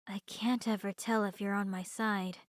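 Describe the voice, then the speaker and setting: A woman's voice: medium pitch, little pitch movement, moderate pace, no echo, studio quality, close to an everyday voice. A woman voicing an earnest, troubled woman with formal wording in a visual novel. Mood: wary. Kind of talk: visual novel character voice reading.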